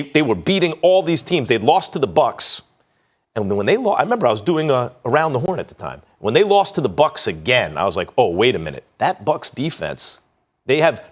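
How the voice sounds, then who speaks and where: A man working as a sports analyst on a studio debate show, talking in an animated, storytelling way. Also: fairly high pitch.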